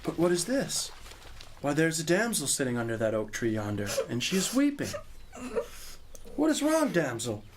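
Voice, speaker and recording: Acted young male knight, impulsive, earnest, old-fashioned courtly phrasing, highly animated pitch, audio drama. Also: normal speed, pauses often, noisy background. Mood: concerned, gallant.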